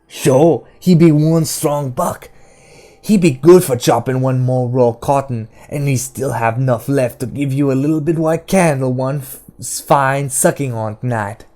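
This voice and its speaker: A man voicing a spiteful, suspicious captive woman in an audiobook, hoarse and jeering in crude dialect. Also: medium pitch, measured pace, warm tone, close to an everyday voice. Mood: mocking.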